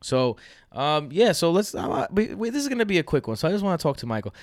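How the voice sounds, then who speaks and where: A man's voice: a little high, fast, no room sound, clean studio sound. A man, hosting a fantasy football podcast in loose, joking chat with his brother. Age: 30s. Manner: animated, laughs often, loose and joking.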